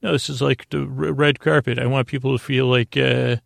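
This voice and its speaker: Very creaky man rambling haltingly. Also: medium pitch, little pitch movement, no room sound, studio quality. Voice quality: creaky, gravelly. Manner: halting, meandering, stammering and repeating words; deliberately slowed-down delivery. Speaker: podcast host. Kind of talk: rambling improvised sleep-podcast monologue.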